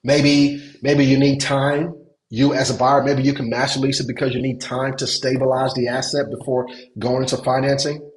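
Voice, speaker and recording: A man's voice: mid-range voice, flat delivery, moderate pace. A man from Nigeria coaching a webinar on hotel investing, repeating words for emphasis and checking that listeners follow. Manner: repeats words for emphasis, asks if it makes sense. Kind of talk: webinar teaching. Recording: echoey room.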